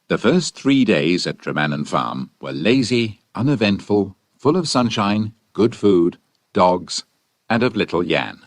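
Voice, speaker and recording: A man's voice: on the low side, expressive, unhurried, no echo. A man reading scripted audiobook narration as a storyteller.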